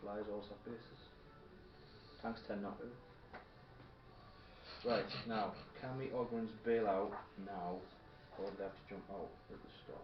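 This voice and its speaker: A man's voice: fairly low pitch, steady tone, a little slow, long pauses. A man chatting casually as he plays a tabletop wargame and explains the rules. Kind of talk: casual game-table chat. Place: indoor room at a gaming table. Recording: dull, echoey room recording with background noise. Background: faint background noise, radio playing.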